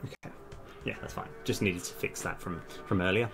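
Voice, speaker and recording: A man's voice: low voice, fairly even intonation, moderate pace, gravelly, a bit bright, faint music behind. A man doing casual live-stream commentary as a game developer, thinking aloud in a hesitant, self-correcting way.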